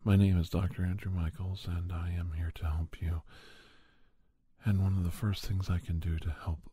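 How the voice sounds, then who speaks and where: A man playing a grave paranormal investigator telling his tales in ASMR style, husky and near-whispering, with deliberate, halting phrases. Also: deep voice, little pitch movement, warm tone. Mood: measured. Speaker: storyteller, podcast character host. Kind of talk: ASMR scripted horror storytelling.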